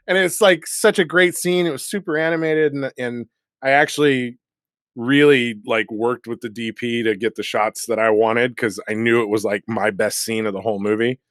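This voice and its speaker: A man, an actor guest in a casual chat, talking in an animated way with lots of fillers and frequent laughter. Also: medium pitch, normal speed, no room sound, studio quality. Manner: animated, laughing often, many filler words.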